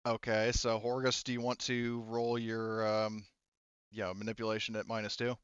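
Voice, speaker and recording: Male game master, clear smooth voice, dry close sound slightly dull, casual refereeing of a tabletop game. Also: lowish voice, monotone, normal speed, fairly bright tone, no echo.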